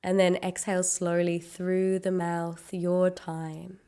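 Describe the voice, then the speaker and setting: A woman leading a guided meditation, giving gentle, unhurried instructions with long pauses. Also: lowish voice, level tone, clear, resonant tone.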